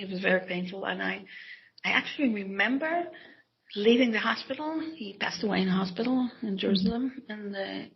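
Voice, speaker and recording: Middle-aged Hebrew-native woman author, reflective and halting, raspy voice. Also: medium pitch, highs cut off. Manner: hesitant, reflective, many restarts and soft laughs. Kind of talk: interview answers.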